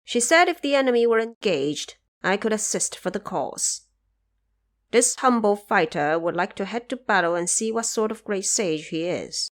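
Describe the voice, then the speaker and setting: A woman's voice: mid-range voice, animated, normal speed, natural voice. Young warrior prince played by a woman, dutiful, earnest, formal and declamatory, for fantasy audio drama. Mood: eager.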